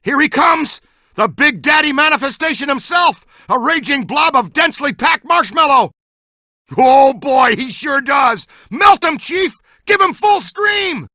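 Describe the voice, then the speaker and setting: An actor playing a wisecracking ghost hunter in a video game, with a glib, joking manner. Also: male voice, very high-pitched, animated, unhurried, bright, forceful tone, no room sound, clean studio sound, heavily acted. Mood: excited.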